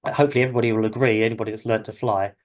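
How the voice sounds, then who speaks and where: A man's voice: on the low side, little pitch movement, rapid-fire. British man, informal flying instructor. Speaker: helicopter flying instructor and session host. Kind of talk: informal classroom lecture.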